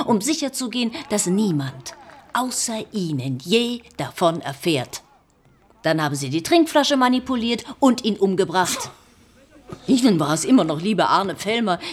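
A woman's voice: mid-range voice, lightly acted. An actress playing a middle-aged police inspector and mother in a radio drama, direct and insistent in her questioning. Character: probing female police inspector, mother of grown son. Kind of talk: naturalistic German radio drama acting.

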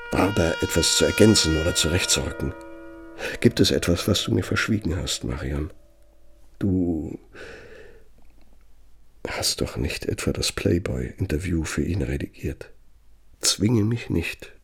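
Pompous older architect in a radio play, low gravelly voice, bitter and insinuating, kinda theatrical. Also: male voice, very animated, a little slow, long pauses, fairly bright tone, heavily acted. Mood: bitter, threatening. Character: self-important older male architect, insinuating, bitter, brooding. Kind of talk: German radio play acting, monologue and dialogue.

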